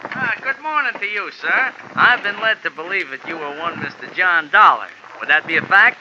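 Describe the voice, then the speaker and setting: A pompous little jockey. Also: male voice, high voice, expressive, moderate pace, noisy background. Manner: formal, stilted, easily offended. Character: small pompous male jockey, touchy and wordy. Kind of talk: 1950s radio drama acting. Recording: lo-fi old radio broadcast.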